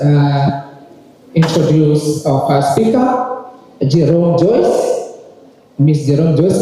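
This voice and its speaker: Man, high voice, very dark tone, slow formal emcee, long hall reverb, far from the mic. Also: slight background noise. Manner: slow, formal, polite requests to the audience. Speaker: master of ceremonies. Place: large ceremony hall. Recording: echoey hall, distant mic.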